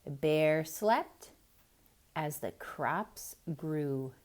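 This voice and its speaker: Slow, expressive woman reading to kids. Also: low-pitched. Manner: expressive, asking the listener questions. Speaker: children's storyteller. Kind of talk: theatrical read-aloud for kids.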